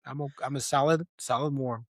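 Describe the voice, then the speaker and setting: A man's voice: mid-range voice, fairly even intonation, fairly quick, no echo, studio quality. A man hosting a podcast in a casual, conversational film discussion. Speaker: podcast co-host.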